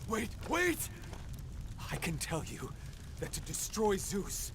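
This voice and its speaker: An actor playing a proud, scheming sun god in a video game, theatrical and mocking, with drawn-out delivery. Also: male voice, big pitch swings, full character voice. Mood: desperate, defiant. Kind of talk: dramatic video game voice acting. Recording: loud rumbling noise behind the voice.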